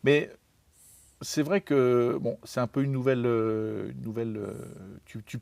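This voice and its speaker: A man's voice: medium pitch, fairly even intonation, measured pace, creaky, a little mellow, dry recording. Hesitant male interviewer, dry sound. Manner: hesitant, many fillers and restarts. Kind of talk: conversational interview.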